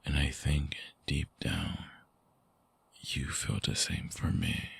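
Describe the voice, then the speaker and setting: A man voicing a lovesick vampire in intimate ASMR roleplay, husky and breathy, often dropping toward a whisper, halting, tender and earnest, close on the mic. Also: rumbling low, animated, fairly bright tone, no echo, heavily acted. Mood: tender, devoted. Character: lovesick male vampire returning to his lost lover. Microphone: close binaural microphone.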